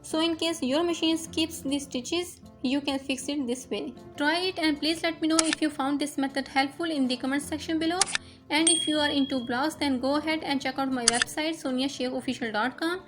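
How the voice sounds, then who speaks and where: A woman's voice: high voice, fairly even intonation, normal speed, over music. A young woman whose first language is Urdu, patiently talking through a sewing machine fix step by step as host of her tutorial channel. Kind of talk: instructional voice-over.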